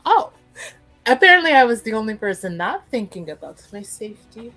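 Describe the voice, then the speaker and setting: A woman's voice: on the high side, big pitch swings, a little fast, fairly bright tone, faint music behind, rough recording. A chatty, playful woman talking casually as a player in a tabletop roleplaying game. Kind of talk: casual improvised roleplay and narration.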